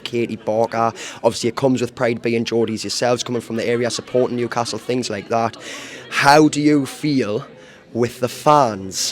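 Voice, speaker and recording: A man interviewing players for a fan channel pitchside at an outdoor stadium, asking enthusiastic, rambling questions. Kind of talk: post-match interview.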